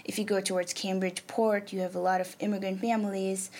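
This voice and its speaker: Gravelly-voiced Nepali-born woman artist. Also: medium pitch, level tone, darkish tone. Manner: thoughtful, many ums and pauses. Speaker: artist and arts program manager. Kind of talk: interview answers. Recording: faint background noise.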